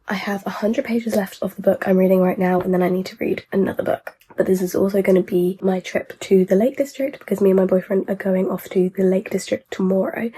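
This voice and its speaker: A young woman vlogging casually about her reading. She has a sore throat and sounds bunged up, so she talks quietly and cannot project her voice. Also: mid-range voice, slightly rough. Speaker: book vlogger. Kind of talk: casual vlog talk to camera.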